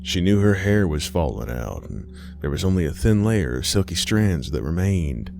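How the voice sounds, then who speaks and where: A man's voice: sits low, sing-song, normal speed, vocal fry, music in the background. A man narrating a scripted horror story as an audiobook-style reader.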